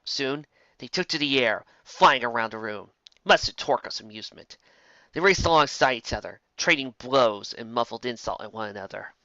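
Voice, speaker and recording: A man narrates an adventure story in a dramatic scripted style. His voice is mid-pitched with a bright, tense edge. The recording is very dry and slightly dull. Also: expressive, normal speed, no echo.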